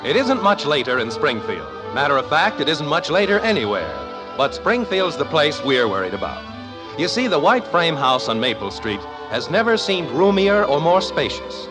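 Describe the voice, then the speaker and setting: A man's voice: on the high side, very animated, normal speed, low-quality recording. A man narrating a 1950s radio comedy from a script in a wry, folksy manner. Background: music.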